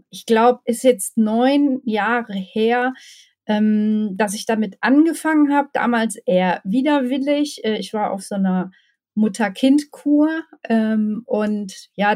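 A woman's voice: fairly high pitch, takes it slowly, darkish tone. A woman from the area, chatting as an interview guest. She laughs often and fills gaps with many hesitations. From Ruhr area, Germany. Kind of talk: casual storytelling interview.

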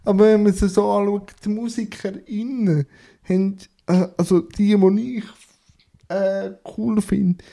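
A man with a Swiss German accent hosting a podcast interview, relaxed, filling his sentences with tag questions. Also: high voice, unhurried, vocal fry. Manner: relaxed, fills with "halt" and "oder?". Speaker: podcast host. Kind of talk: casual conversational interview.

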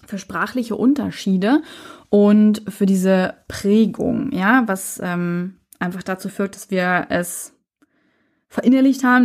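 Woman, podcast host, solo monologue, earnest, thoughtful, hesitant, mid-pitched voice. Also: gravelly, a little mellow. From Saxony-Anhalt, Germany.

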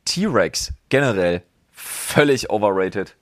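Excitable podcast guy, voice jumps all over, swears n laughs a lot, dry close mic. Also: on the low side, slow, no echo. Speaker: podcast co-host. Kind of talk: casual chat.

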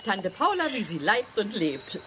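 An actress playing a warm-hearted, slightly crazy and resolute middle-aged aunt in a children's radio play. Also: female voice, medium pitch, very animated, unhurried, background noise. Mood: jaunty, confident. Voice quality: warm, auntly.

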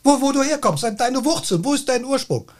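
A man's voice: extremely high pitch, expressive, fairly quick. A middle-aged man telling anecdotes casually, emphatic and fond of repeating phrases. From Cologne, Germany. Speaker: podcast guest, taxi driver.

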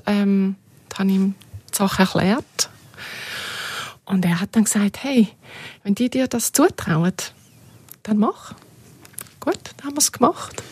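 A woman's voice: mid-range voice, takes it slowly, long pauses, darkish tone, slightly rough, no echo. A woman with a Swiss German accent, talking informally and reminiscing as an interview guest. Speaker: interview guest, radio journalist.

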